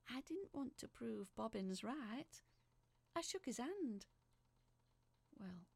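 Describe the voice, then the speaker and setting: A woman playing a feisty woman recounting a strange ordeal in expressive, sharp-tongued audio drama narration. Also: on the high side, gravelly, slightly rough, no room sound, full character voice. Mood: wry. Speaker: narrator reading a letter aloud. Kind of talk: dramatic first-person storytelling in audio fiction.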